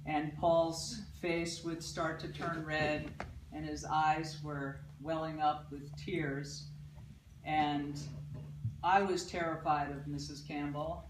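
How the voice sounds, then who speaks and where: A woman from Paterson, New Jersey, loudly telling a personal story on stage to a live audience. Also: deep voice, flat delivery, slow pace, noisy background. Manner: loud. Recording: roomy, distant mic, audible ambience.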